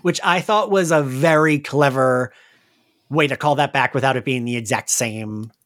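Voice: medium pitch, normal speed, a bit bright. A podcast co-host in a casual, unscripted fan discussion, talking in loose, looping sentences peppered with "like". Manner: talks in loose, looping sentences with frequent "like" and swearing.

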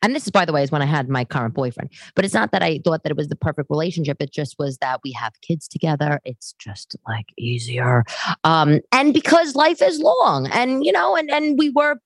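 A woman in her late thirties hosting a podcast, talking casually and bluntly to her listeners in an animated, conversational way. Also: low-pitched, fairly quick, no echo. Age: late 30s. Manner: animated, conversational, acts out others' remarks. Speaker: podcast host. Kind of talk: casual, chatty monologue to listeners.

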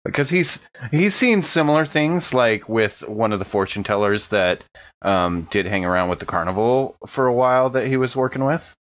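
A man's voice: mid-range voice, animated, normal speed, dull audio. A man casually improvising narration of his character's actions as a player in a tabletop role-playing game.

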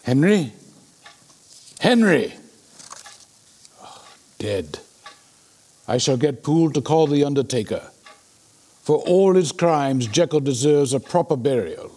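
A man plays a loyal Victorian gentleman confronting a friend's dark secret. His voice is a little on the high side. He speaks formally and gravely in radio drama style, earnest and shocked. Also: big pitch swings, slow pace, long pauses, exaggerated voice. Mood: somber.

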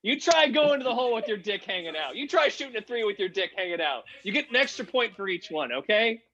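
Improv comedy PE coach, crude and insistent, bright high voice, dull audio male performer. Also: sing-song, fast talker, heavily acted. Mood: pushy, brash. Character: pushy, crude eighth-grade gym coach.

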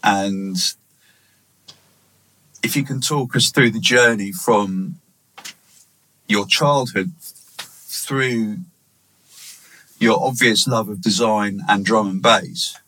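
A man's voice: fairly low pitch, extremely slow, long pauses, bright, forceful tone, rough recording. A man hosting an interview, playfully formal and encouraging toward his guest. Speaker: interviewer, host. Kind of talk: casual interview.

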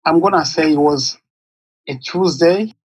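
A man in his thirties from Congo, a guest in an interview, telling his life story in halting with pauses as he searches for words. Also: a little high, breathy edge, no echo. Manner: halting, searching for words, non-native English phrasing. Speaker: guest, nonprofit founder.

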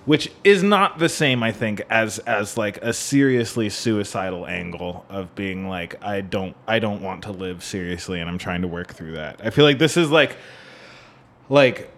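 A man's voice: fairly low pitch, expressive, normal speed, fairly bright tone. A man co-hosting a casual, analytical music talk in an informal, meandering way, often checking for agreement. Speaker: podcast co-host. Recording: faint background room noise.

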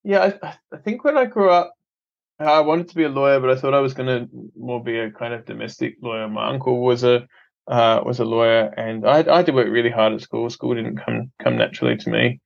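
A man's voice: mid-range voice, animated, fairly quick, vocal fry, no room sound, lo-fi sound. An Australian man from Adelaide, a podcast guest speaking earnestly in casual interview talk, often repeating words as he restarts. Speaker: podcast guest, film company head.

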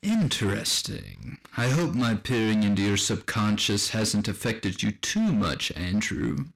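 A man playing a controlling, menacing master who manipulates minds in a radio drama, speaking deliberately and patronizingly. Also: on the low side, animated, creaky, heavily acted, rough recording. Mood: smug, probing.

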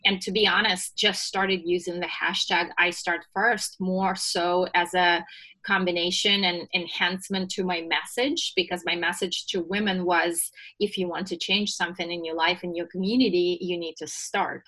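Bright-voiced Russian-accented woman. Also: lowish voice, normal speed, rough recording. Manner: energetic storytelling with laughs. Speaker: guest, business founder. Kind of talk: podcast interview.

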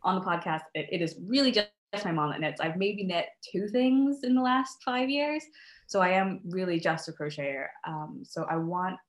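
A woman's voice: fairly low pitch, lively intonation, moderate pace, echoey room. A young American woman chatting as a podcast guest, friendly and talkative, with frequent ums and little laughs. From United States. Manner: chatty, laughs often, many ums and restarts. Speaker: podcast guest, crochet designer. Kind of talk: casual interview chat.

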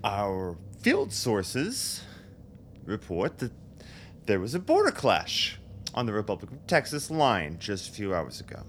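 A man acting a forceful political chairman in a radio drama, speaking formally and deliberately. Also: lowish voice, huge pitch swings, slight background noise. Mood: measured, probing. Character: forceful, strong-willed male political chairman.

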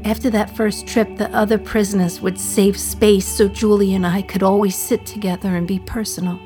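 A woman's voice: mid-range voice, little pitch movement, moderate pace, mellow, soft background music, natural voice. A woman playing a wry, defiant condemned prisoner. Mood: wistful. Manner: wry, sardonic, confiding to the listener. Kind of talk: radio play monologue.

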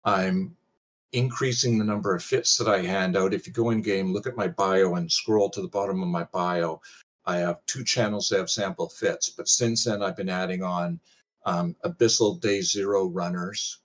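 A man's voice: deep voice, steady tone, normal speed. An older man in casual chat as a guest on a show, rambling and self-deprecating with a wry sense of humor, speaking over a remote call. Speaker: pitching guest on a game panel show.

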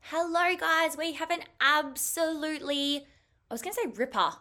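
An Australian woman hosting a health podcast, enthusiastic and gushing, her voice a bit croaky from an early start. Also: sits high, normal speed, loud music behind the voice. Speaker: podcast host, health teacher. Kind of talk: upbeat podcast interview.

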